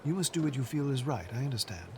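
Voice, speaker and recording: A man playing an earnest, observant, well-spoken gentleman in an audio drama, polite and solicitous. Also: mid-range voice, big pitch swings, a little hiss or hum, lightly acted. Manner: polite, measured phrasing.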